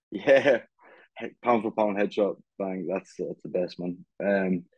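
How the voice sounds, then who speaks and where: A man's voice: sits low, takes it slowly, no echo, dull audio. A young man chatting casually about fights over a video call, laid-back and peppering his talk with "yeah, man". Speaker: podcast host. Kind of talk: casual fight-recap chat.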